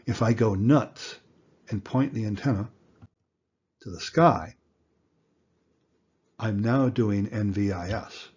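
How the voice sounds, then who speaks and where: A man with a somewhat low, raspy voice teaches in an informal, explanatory way. He is deliberate and emphatic, posing rhetorical questions as he goes. The recording sounds a little dull and lo-fi. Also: darkish tone. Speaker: instructor, amateur radio teacher.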